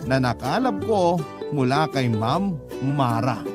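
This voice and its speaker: A man's voice: medium pitch, lively intonation, slow. A man giving scripted first-person narration for a radio drama, confiding his story directly to the host, with music underneath.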